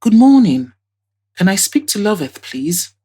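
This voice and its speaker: A woman voicing a composed, polite young woman in an audio drama, with an earnest, measured manner. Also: mid-range voice, very animated, mellow, slightly rough, voice pushed up.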